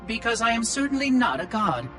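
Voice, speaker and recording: A man's voice: extremely high pitch, sing-song, fairly quick, music in the background. An actor voicing a curious, condescending ancient male machine caretaker in video game dialogue. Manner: prying, quietly condescending.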